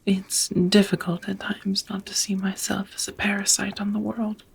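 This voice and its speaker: A woman playing a centuries-old vampire roommate in an intimate ASMR roleplay, tender and melancholy, whispering softly with hesitant, formal wording. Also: mid-range voice, little pitch movement, normal speed, slight background noise, natural voice. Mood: melancholy, ashamed. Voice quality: breathy, whispery, soft.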